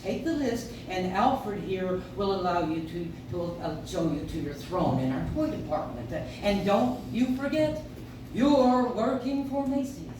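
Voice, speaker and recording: A woman's voice: mid-range voice, animated, a little slow, natural voice. A woman playing an eager, flustered, officious department store manager in a live staged radio play. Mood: officious, fussy. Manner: flustered, effusive, repeats words. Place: live stage in a coffee house. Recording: echoey, noisy audience recording from far off.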